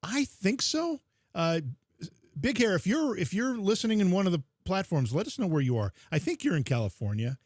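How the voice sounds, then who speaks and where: A man's voice: high-pitched, sing-song, moderate pace, dry recording. A man with a somewhat raised voice chats easily as a podcast co-host, ribbing his partner and rattling off supporter names. The sound is close and dry, with no room around it. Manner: chatty, teasing, reads out supporter thank-yous. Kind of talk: casual livestream chat.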